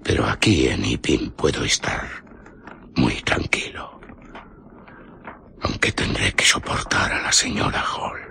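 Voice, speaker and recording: A man's voice: extremely low pitch, expressive, a little slow, creaky, pauses often, sharp tone, heavily acted. An actor playing a brusque, secretive male guest at an inn in a radio drama, curt and grumbling. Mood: brooding, irritated.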